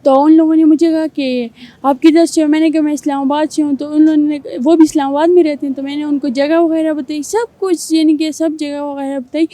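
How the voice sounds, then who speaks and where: A young man answering interview questions in a girlish falsetto, rambling with fillers. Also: little pitch movement, mellow, no room sound. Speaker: guest.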